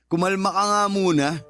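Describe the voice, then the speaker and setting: A man with a Filipino accent playing a calm, firm, concerned older brother in a radio drama. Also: high voice, exaggerated voice. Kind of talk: Tagalog radio drama acting.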